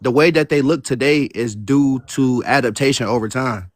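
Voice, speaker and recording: A man's voice: mid-range voice, moderate pace, no echo, clean studio sound. A man arguing insistently in a heated online debate, stammering and repeating himself.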